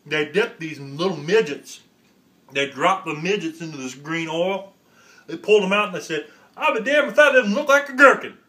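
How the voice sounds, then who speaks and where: A man impersonating a gruff older film star, emphatic and indignant. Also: sits high, animated, moderate pace, bright, forceful tone. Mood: storytelling.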